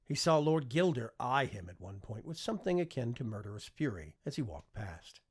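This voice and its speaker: A man narrating a fiction audiobook with expressive, scripted storytelling delivery. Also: mid-range voice, fairly quick, husky.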